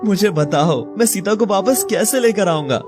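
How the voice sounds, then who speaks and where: A man's voice: high-pitched, expressive, normal speed, over music. A man acting a remorseful young married man in an audio story, speaking in an anguished, imploring, dramatic way. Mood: desperate pleading. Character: remorseful married young man pleading with his childhood love.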